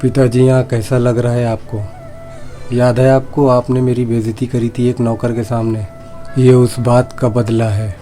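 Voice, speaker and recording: Man as arrogant vengeful prince, mellow dark tone, medium pitch, taunting, storybook, background music. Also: little pitch movement, normal speed, close to an everyday voice. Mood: scornful, gloating. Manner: scornful, taunting. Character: arrogant, vengeful young prince, greedy for the throne. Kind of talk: children's storybook dialogue.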